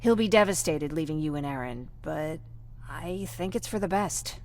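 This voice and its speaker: A woman playing a gentle, wistful young survivor with a creaky voice in a video game. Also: sits low, lively intonation, normal speed, slight background noise. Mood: hesitant, protective. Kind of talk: video game dialogue acting.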